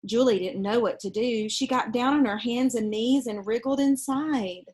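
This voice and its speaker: A woman's voice: on the high side, normal speed, a little mellow, slightly rough. A woman reading a children's storybook aloud expressively, with casual asides explaining the pictures to young listeners. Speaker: storybook reader.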